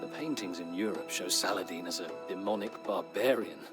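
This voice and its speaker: An actor playing a weary captive Norman knight who chronicles his captivity in solemn, measured storybook narration for a strategy game. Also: low voice, lightly acted. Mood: reflective. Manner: solemn, measured, literary delivery with dramatic pauses. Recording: studio narration over clear background music.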